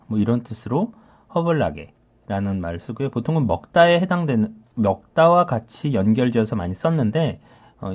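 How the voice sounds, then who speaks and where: A male language teacher with a mid-range voice and very animated intonation. He explains vocabulary informally and step by step, often posing small rhetorical questions to the learner. The recording is somewhat muffled and lo-fi. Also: mid-range voice. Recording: dull, lo-fi sound.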